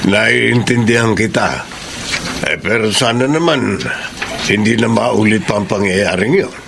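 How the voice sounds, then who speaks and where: A man's voice: on the low side, lively intonation, a little slow, vocal fry, background noise. An actor playing a concerned, conciliatory older father-in-law in a Filipino radio drama. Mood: concerned, admonishing.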